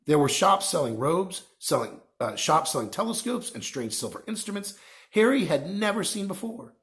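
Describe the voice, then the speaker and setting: A man reading a children's novel aloud as an expressive storyteller. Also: high voice, normal speed. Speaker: reader, storyteller.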